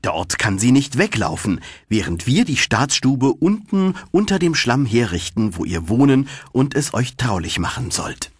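A man's voice: medium pitch, big pitch swings, unhurried, few pauses, breathy edge, no room sound, clean studio sound, voice pushed up. A man voicing an ugly, bossy old mother toad in a storybook, with a scheming, commanding delivery. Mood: scheming, hushed urging.

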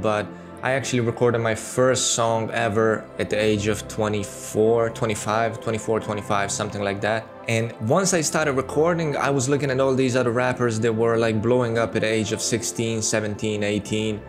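A man in his thirties with a Serbian accent, talking candidly to camera in a casual home video, close to a studio mic. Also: fairly low pitch. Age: 30s. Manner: candid and conversational, with many fillers. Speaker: YouTuber. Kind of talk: casual talking-head monologue. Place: small room at home, indoors. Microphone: studio mic on boom arm, close.

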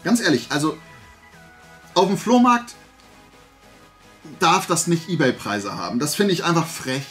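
A man. His voice sits a little high for a man. He talks in a blunt, colloquial, opinionated way, seated at a desk in a small room, with faint music. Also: sing-song, a little slow, a bit bright. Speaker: host of a collecting haul vlog. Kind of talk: casual chat to camera. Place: small indoor room lined with collectibles.

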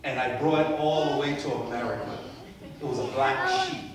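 A man in his forties from Barbados, preaching a sermon with a handheld mic, moving from soft storytelling asides to loud emphatic peaks. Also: a little high, wildly swooping pitch, moderate pace, distant mic, crowd noise. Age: 40s. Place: church sanctuary. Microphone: handheld mic, far camera. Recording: echoey church, captured from afar.

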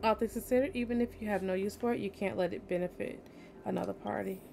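Streamer: young woman, tired, casual let's play talk at middling pitch, faint noise behind her. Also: fairly even intonation, quick pace, creaky. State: tired, yawning. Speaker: game streamer. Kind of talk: casual let's play commentary, reading game text aloud.

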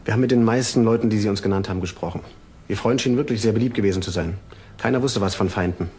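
A man acting a brisk, probing police detective in a crime radio play, questioning in a matter-of-fact manner. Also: lowish voice, darkish tone, slight background noise, natural voice. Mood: probing, calm.

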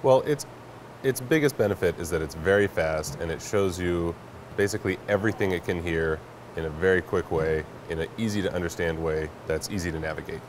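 A man's voice: low-pitched, a little fast. A man in his thirties explaining a product in an interview, matter-of-fact and a little hesitant. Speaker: network product company representative, guest. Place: large indoor warehouse staging space. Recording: clear background noise of a warehouse.

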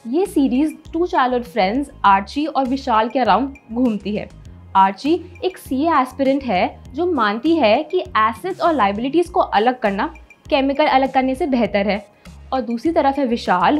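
Young woman presenter, husky high voice. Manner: lively, mixes English into Hindi; gestures with her hands as she talks. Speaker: entertainment presenter. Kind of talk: scripted video presentation. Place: studio, in front of a blue-purple backdrop.

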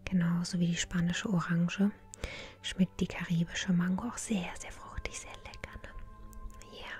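Whispering woman, gentle ASMR roleplay. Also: fairly low pitch, flat delivery. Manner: gentle, unhurried, polite customer service. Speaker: ASMR creator playing a tea shop owner. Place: small indoor room. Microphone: close to the microphone. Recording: soft music behind close whisper. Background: relaxing music.

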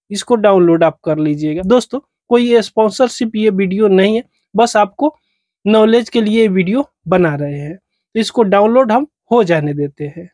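A man's voice: sits high, darkish tone, no room sound, studio quality. A man narrates a step-by-step phone tutorial as its host, in repetitive, instructional phrasing.

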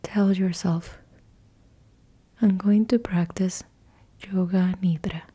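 Slow whispering woman guiding meditation. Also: lowish voice, fairly even intonation, mellow, breathy edge. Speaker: yoga nidra guide. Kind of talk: guided meditation.

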